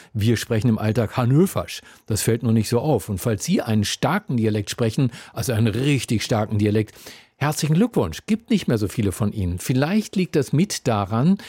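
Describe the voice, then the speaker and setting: A male radio presenter from the Hanover area of Lower Saxony, talking in a relaxed, wry, conversational way. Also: slightly rough, dry recording. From Lower Saxony, near Hanover. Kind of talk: radio moderation. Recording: dry studio with faint music.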